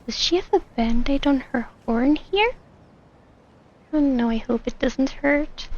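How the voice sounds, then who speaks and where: A woman game streamer chats casually in a high, giggly voice at a slow pace. Her reactions are sympathetic, and the sound is slightly dull and lo-fi with game music behind. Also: animated, background noise. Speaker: streamer playing a story game. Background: video game music.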